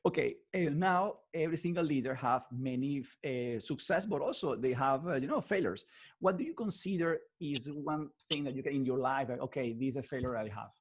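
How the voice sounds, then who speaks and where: Husky, eager interviewer with English. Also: male voice, mid-range voice, expressive, fairly quick. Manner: enthusiastic, non-native English phrasing, repeats words. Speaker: interviewer, host. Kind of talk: interview host chat.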